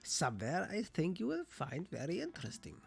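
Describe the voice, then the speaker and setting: A person voicing an energetic older male professor in a visual novel, measured, cautious and instructive. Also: mid-range voice, creaky, slightly rough, soft background music, exaggerated voice. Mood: brisk, encouraging. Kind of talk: visual novel character read-aloud.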